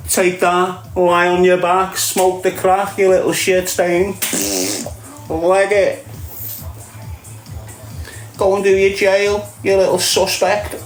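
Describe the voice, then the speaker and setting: A Scouse man rants at an unhurried pace, crude and mocking, laughing at his own jokes. His voice rings in an echoey room with faint music playing behind him. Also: high-pitched, completely flat delivery. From Liverpool, England. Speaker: online streamer. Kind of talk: ranting casual monologue.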